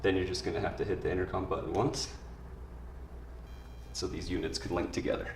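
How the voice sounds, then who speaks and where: Deep-voiced man in an echoey room. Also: flat delivery, fairly quick. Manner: plain, informal spoken explanation. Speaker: product demonstrator. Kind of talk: instructional product tutorial, step by step. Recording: echoey room, distant mic, faint noise.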